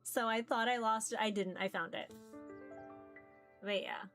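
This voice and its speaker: A woman livestreaming visual novels, chatting casually in a rambling, halting way. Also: on the high side, steady tone, fairly bright tone. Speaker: streamer playing visual novels. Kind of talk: casual livestream chat, reading game text aloud. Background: background music.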